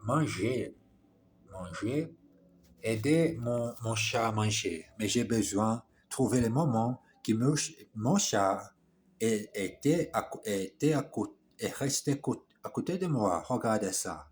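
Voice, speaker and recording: A man's voice: fairly low pitch, animated, takes it slowly, darkish tone. A man practicing French on a phone camera, repeating and correcting himself as he reads dialogue lines aloud. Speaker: language learner. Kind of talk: casual language practice vlog.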